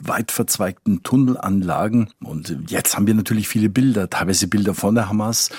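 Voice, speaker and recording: A man's voice: lowish voice, fairly quick, darkish tone, slightly rough, no echo, studio quality. A man talking as a correspondent, explaining conversationally, thinking aloud and repeating words for emphasis. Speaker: foreign correspondent, interview guest.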